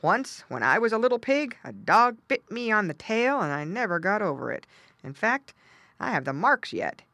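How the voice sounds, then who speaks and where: A woman voicing a fat, placid father pig in a children's storybook, sensible and measured. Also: mid-range voice, big pitch swings, fairly bright tone, no room sound, pitched above the performer's own voice.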